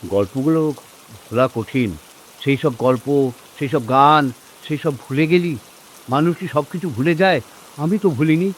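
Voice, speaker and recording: A man playing a gentle, uneasy elderly man in a radio drama, speaking hesitantly and earnestly. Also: on the high side, measured pace, pauses often, a little hiss or hum, natural voice, rough recording. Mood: wistful. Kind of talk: Bengali radio drama acting.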